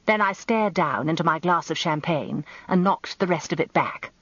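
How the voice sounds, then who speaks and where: An actress playing a genteel, self-important middle-aged English wife, narrating a radio drama in an arch, confiding, expressive way. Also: female voice, lowish voice, normal speed, husky, dry recording, highs cut off. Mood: flustered, confiding.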